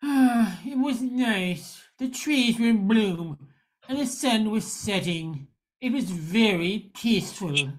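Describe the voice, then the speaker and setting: An older woman playing a confused, gentle middle-aged man in an amateur radio play, with a halting, stammering delivery. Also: mid-range voice, lively intonation, poor audio. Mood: gentle, wistful. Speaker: amateur radio drama actor.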